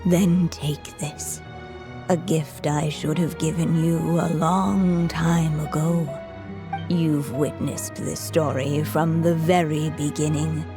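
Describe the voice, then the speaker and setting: A story witch, the gentle echo of a lost mother in a game. Her voice sits fairly low and moves slowly, with a solemn, tender storyteller's care. Music plays clearly behind her. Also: steady tone. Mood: solemn, loving. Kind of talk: dramatic video game voice acting.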